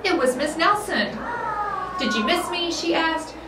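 A woman's voice: very high-pitched, animated, unhurried. A woman in her forties reading a children's picture book aloud expressively. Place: school office indoors. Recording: roomy, distant mic, background noise.

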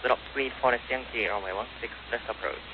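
A male air traffic controller issues clipped instructions at a quick pace. He is heard over a muffled radio with plenty of hiss around his voice. His pitch is average for a man. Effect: radio transmission. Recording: hissy, noisy, muffled radio transmission.

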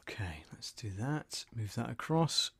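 A man's voice: mid-range voice, big pitch swings, unhurried, creaky, fairly bright tone, husky, dry recording. A middle-aged man in casual live-stream commentary, muttering to himself as he works at a desk microphone. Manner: muttering to himself while working. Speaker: voiceover producer and streamer. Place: small indoor studio at a desk. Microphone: desk microphone close up.

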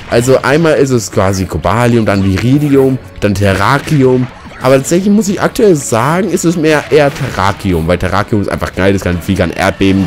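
A young man with a slightly hoarse, cold-worn voice, commentating a fighting game and ranting with lots of swearing. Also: low-pitched. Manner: swears a lot, rants and shouts at the game. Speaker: let's player. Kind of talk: gaming commentary. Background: game music.

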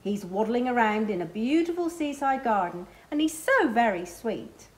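Female library storyteller, middle-aged, slightly high voice, expressive picture-book delivery for children. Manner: expressive, pausing to point out pictures. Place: small library children's corner, indoors.

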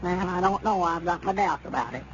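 Male high-pitched old Southern country storekeeper, stubborn and folksy, vintage radio comedy, muffled noisy recording. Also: a little fast, a bit bright. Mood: wary. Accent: rural Southern US. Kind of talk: 1930s radio comedy acting.

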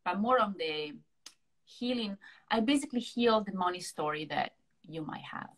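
A woman's voice: medium pitch, animated, moderate pace, rough recording. A woman in her thirties from Italy with an Italian accent, talking expressively as a guest on a video call interview, peppering her talk with "you know" and "right". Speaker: guest, hypnotherapist and success coach. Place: small room at home. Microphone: video call, laptop or webcam mic.

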